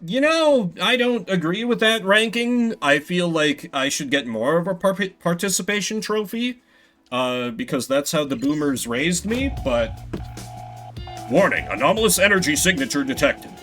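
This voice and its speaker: A man's voice: high-pitched, animated, moderate pace, fairly bright tone. A young adult man streaming a game blind, rambling with swears and self-deprecating jokes. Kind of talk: blind let's-play commentary. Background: game music.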